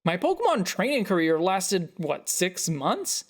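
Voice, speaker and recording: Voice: mid-range voice, sing-song. A narrator voicing a defensive, frustrated adult photographer arguing with a parent in a short story. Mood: angry, hurt. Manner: halting, then heated.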